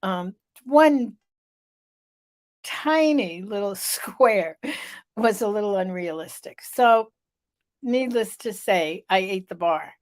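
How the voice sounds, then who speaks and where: Middle-aged woman host, stuffy-voiced, unhurried live-vlog storyteller. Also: medium pitch, lively intonation. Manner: slow, storytelling, emphatic repetition.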